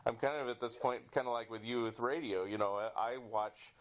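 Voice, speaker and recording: A man's voice: medium pitch, fairly even intonation, fairly quick. A middle-aged man hosting a podcast, chatting casually and a bit ramblingly with his guest. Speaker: podcast host. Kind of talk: casual interview chat.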